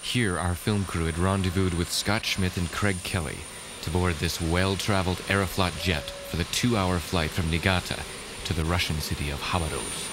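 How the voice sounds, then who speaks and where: A man with a low voice narrates a travel documentary. His delivery is measured and expository. Loud vehicle ambience runs behind him. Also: steady tone. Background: loud vehicle noise and ambience.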